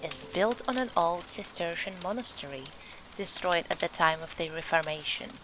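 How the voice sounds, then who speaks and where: A woman's voice: on the low side, animated, normal speed, music in the background, close to an everyday voice, poor audio. A woman acting in an audio drama as an earnest young believer in ghosts, telling her story with dramatic emphasis. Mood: earnest, mysterious.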